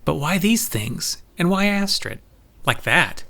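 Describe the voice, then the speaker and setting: Very bright-voiced, plain-spoken man acted. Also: a little high, lively intonation, takes it slowly, vocal fry, full character voice. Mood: concerned, puzzled. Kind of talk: audio drama acting.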